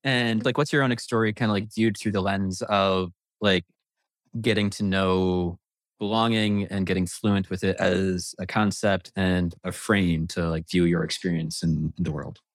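A man's voice: low voice, steady tone, measured pace, no room sound. A man hosting a podcast interview, asking long rambling questions packed with "like" and "kinda". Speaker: podcast host.

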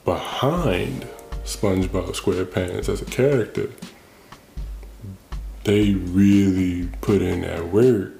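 A man's voice: low voice, gravelly, dark tone, reverberant. A man hosting a solo podcast, musing casually and restarting his thoughts as he goes. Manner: casual, thinking aloud with frequent restarts. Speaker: podcast host. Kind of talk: reflective solo monologue.

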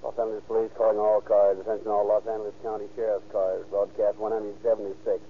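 Noisy old radio actor as low-voiced police dispatcher. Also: male voice, level tone, fast talker, close to an everyday voice. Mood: brisk, official. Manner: clipped, official. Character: brisk male police radio dispatcher reading a bulletin. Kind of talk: 1930s radio police bulletin. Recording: muffled, noisy 1930s radio recording.

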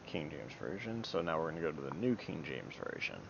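A man's voice: sing-song, brisk, creaky. A man giving an informal Bible teaching talk, hesitant and apologetic, with many ums. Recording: dull lo-fi recording with low rumble.

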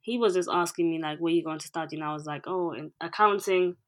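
A woman in her twenties from England, a director and producer, speaking in a calm, even, rather monotone way as an interview guest. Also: on the low side, fast talker. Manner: calm, even, self-described monotone delivery. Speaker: music video director and producer. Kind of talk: interview guest, casual conversation.

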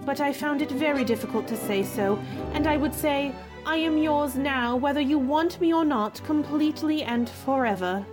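Woman doing a lovestruck young lady from an old radio romance, fairly high voice, loud violins underneath. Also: moderate pace, hardly any pauses, music in the background, lightly acted. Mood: tender, lovestruck. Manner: tender, earnest, formal. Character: earnest, lovestruck young gentlewoman. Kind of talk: 1940s radio romance acting.

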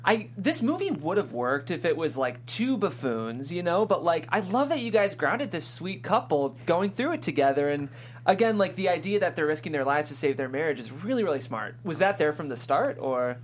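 A woman's voice: low voice, sing-song, moderate pace, slight background noise. An American woman hosting a casual interview, chatting and putting questions to her guests. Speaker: interviewer, host.

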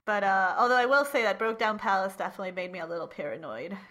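A woman's voice: medium pitch, fast, fairly bright tone. A woman co-hosting a casual chat podcast, chatty and laughing often, with lots of "like" fillers. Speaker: podcast co-host.

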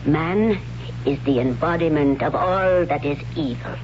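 An actress playing a stern high priestess in an old radio drama, speaking in a solemn, commanding, formal way. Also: female voice, measured pace, a little mellow. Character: stern, imperious high priestess reciting holy law. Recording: muffled, noisy old radio broadcast with hum.

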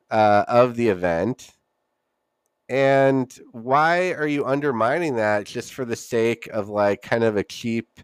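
A man's voice: mid-range voice, clean tone, no room sound, studio quality. A man hosting a solo podcast, giving casual, opinionated commentary in an emphatic, conversational way. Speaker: podcast host.

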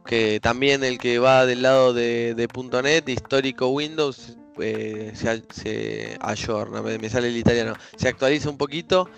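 Relaxed man chatting tech. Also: medium pitch, moderate pace, vocal fry, few pauses, faint music behind. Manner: relaxed, many fillers and restarts. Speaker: co-host. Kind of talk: casual tech chat.

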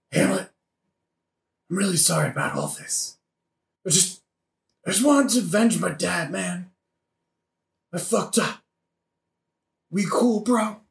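A man playing a mortally wounded, remorseful young avenger who talks in slow, slangy bro speech in a comedic audio play. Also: high voice, creaky, fairly bright tone, heavily acted. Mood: apologetic. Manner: slangy, drawn-out. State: gasping, coughing, dying. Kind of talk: modern-language comedic audio play acting. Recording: roomy, echoing recording.

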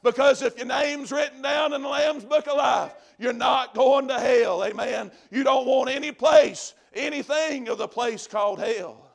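A man preaching a fiery revival sermon to a congregation, shouting and drawing out his words for emphasis, with lots of repeated phrases. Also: extremely high pitch, moderate pace, vocal fry, hardly any pauses.

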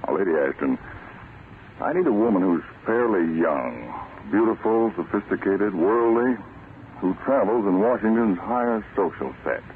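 An actor playing an authoritative, calculating intelligence chief with a businesslike manner in an old-time radio drama. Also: male voice, on the low side, sing-song, moderate pace. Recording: tinny vintage radio recording, light noise.

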